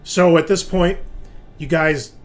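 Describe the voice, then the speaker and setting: A man's voice: on the high side, fairly even intonation, slow pace. A man speaking as game master, directing players through a tabletop roleplaying game in an organized way.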